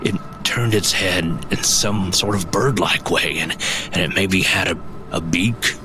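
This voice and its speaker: Shaken fantasy tracker, very low voice, slow halting storyteller, dramatic pauses, music behind. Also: male voice. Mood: haunted, awed. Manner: halting, dramatic storyteller, often pausing and repeating. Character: shaken male woodland tracker recounting a monster sighting. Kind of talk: audio drama acting.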